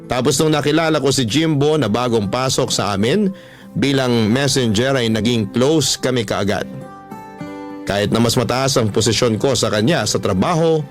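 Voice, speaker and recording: An actor playing a wistful, mature office worker narrating a personal love story in a radio drama. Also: male voice, medium pitch, natural voice. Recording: music bed under narration.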